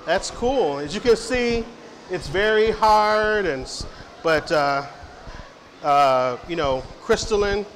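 A man's voice: high-pitched, animated, takes it slowly, fairly bright tone. Middle-aged man, company rep at a public Q&A, chatty and loose, recorded from afar in a reverberant hall with faint noise. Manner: friendly, rambling, many fillers and restarts. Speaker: company representative answering audience questions. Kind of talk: informal public Q&A talk. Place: large hall of a science center, indoors.

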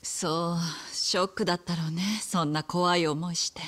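A woman acting a kind, composed bar owner in a Japanese video game drama, speaking in a gentle, mature manner. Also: lowish voice, level tone, moderate pace, lightly acted. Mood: sympathetic, welcoming.

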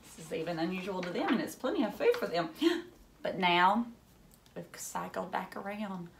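A woman's voice: on the low side, normal speed, vocal fry, a little hiss or hum. A woman in her thirties reading a picture book aloud to young children, expressively and with dramatic emphasis and little asides. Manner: animated, dramatic gasps and hushed moments, simple questions to children. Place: furnished room at home, indoors.

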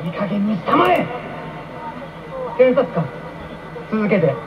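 An actor playing a stern, pompous courtroom judge in a radio drama. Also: male voice, sits high, big pitch swings, takes it slowly, a crowd in the background, heavily acted. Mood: stern, commanding. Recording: muffled AM radio recording.

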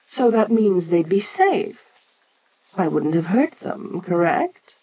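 A woman playing an ancient, imperious kaiju giantess in an audio roleplay, speaking formally and with deliberate, musing condescension. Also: lowish voice, sing-song, warm tone, slightly rough, full character voice, rough recording. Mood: musing, condescending. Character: imperious ancient female kaiju giantess, haughty, curious, domineering. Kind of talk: audio roleplay addressed to the listener.

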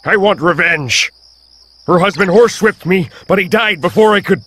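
A man's voice: high-pitched, lively intonation, moderate pace, sharp tone, a little hiss or hum, voice pushed up. A man voicing a menacing grown male outlaw who growls his threats. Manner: growling, vengeful.

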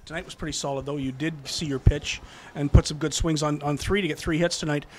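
A man interviewing players after a game, asking brisk, upbeat questions. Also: a little high, fairly even intonation, a little mellow. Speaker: team TV interviewer.

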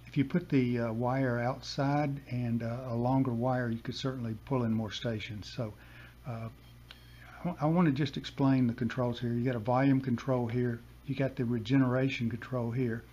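A man in his seventies or older giving a casual, unscripted hands-on demonstration in a halting, plain-spoken way. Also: medium pitch, steady tone. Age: 70s or older. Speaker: hobbyist demonstrating a vintage radio. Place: basement room with a desk. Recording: dull home recording, loud radio hiss.

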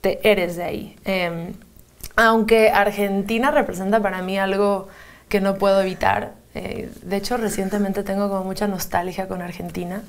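A woman's voice: mid-range voice, flat delivery, normal speed. A young woman singer with a Mexican Spanish accent, answering interview questions in a chatty, easy way, holding a handheld mic in a TV studio. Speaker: singer guest. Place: TV studio, remote split screen.

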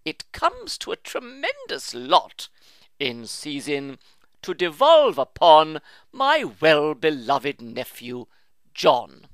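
Pompous elderly uncle, booming, put on by a male reader. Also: wildly swooping pitch, slow pace, fairly bright tone, no room sound, exaggerated voice. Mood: solemn pompous. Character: old rich blustering uncle, pompous and loud.

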